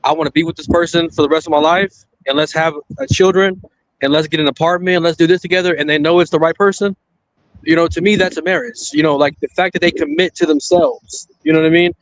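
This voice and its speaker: A man's voice: on the high side, steady tone, fast, dry recording, rough recording. A married man on a discussion panel, talking in a loose, rambling way and often adding "you know what I mean.".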